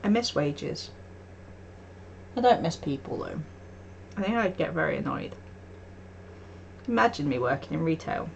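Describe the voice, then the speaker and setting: A woman's voice: low-pitched, expressive, measured pace, gravelly, pauses often, lo-fi sound, slight background noise. A woman streaming live, chatting casually and telling stories with frequent laughs and acted-out quotes. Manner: chatty storytelling, often laughing, acting out quotes. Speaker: art streamer.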